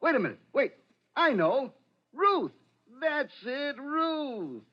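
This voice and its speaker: A folksy, simple shop fellow, high-pitched and swooping up and down. He is friendly, halting and eager in old radio drama style, on a muffled vintage recording. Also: sing-song, heavily acted. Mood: eager, puzzled. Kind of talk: 1940s radio thriller acting.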